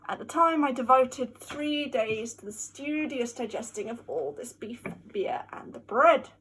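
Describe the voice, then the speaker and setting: A woman giving a theatrical, mock-scholarly dramatic reading of a classic novel, with emphatic delivery and a husky voice. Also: high-pitched, unhurried, a bit bright, a little hiss or hum, rough recording. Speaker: amateur reader.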